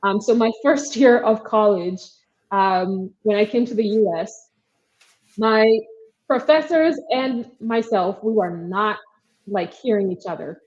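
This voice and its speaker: A woman's voice: mid-range voice, unhurried, darkish tone, dull audio. A young woman with a Kenyan accent, a startup co-founder earnestly answering interview questions with frequent ums and likes.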